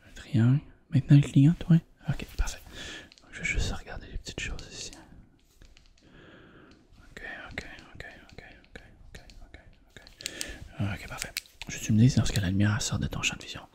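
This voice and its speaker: A man with a Quebec French accent doing a soft, hushed, reassuring ASMR roleplay as an examiner. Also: medium pitch, normal speed, pauses often.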